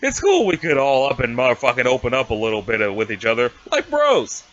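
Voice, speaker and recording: A man's voice: medium pitch, huge pitch swings, fairly quick, a bit bright, dull audio. Man doing a rambling foul-mouthed slacker alien dude. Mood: friendly, spaced-out. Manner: rambling, slangy, sweary stoner talk. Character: laid-back foul-mouthed male alien slacker, dim and friendly. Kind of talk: amateur comic dramatic reading.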